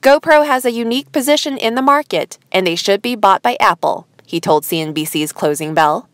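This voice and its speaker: A woman host reading scripted news headlines in a formal, measured newsreader manner. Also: medium pitch, expressive, fairly bright tone, dry recording.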